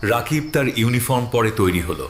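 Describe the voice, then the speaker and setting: A male narrator tells a scripted story in a mid-pitched voice. Music plays clearly behind the words. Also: expressive, a little fast.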